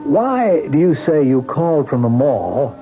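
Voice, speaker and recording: An actor playing a skeptical but decent police lieutenant, probing and authoritative, in a radio drama. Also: male voice, a little high, very animated, unhurried. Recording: narrow-band old radio recording, faint music.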